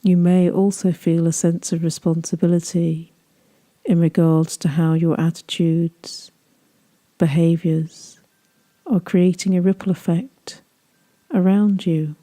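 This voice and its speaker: A woman leading a guided meditation, speaking gently in soothing, unhurried phrases that trail off softly. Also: lowish voice, little pitch movement.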